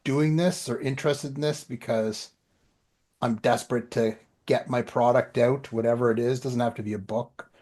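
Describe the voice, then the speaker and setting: A man's voice: medium pitch, fairly even intonation, moderate pace, darkish tone. A middle-aged man co-hosting a podcast, chatting casually about writing in a plain-spoken, anecdotal way. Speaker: podcast co-host and writer.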